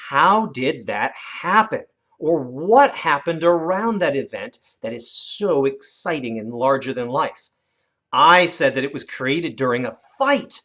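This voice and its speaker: A teacher giving an instructional lesson for children, with animated, expressive storytelling emphasis. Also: mid-range voice. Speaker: elementary teacher.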